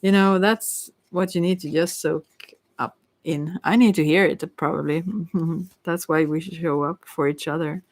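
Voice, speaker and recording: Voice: medium pitch, fairly even intonation, unhurried, no echo. Hesitant tarot reader, very dry close sound. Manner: hesitant, rambling, musing delivery. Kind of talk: casual ASMR tarot reading.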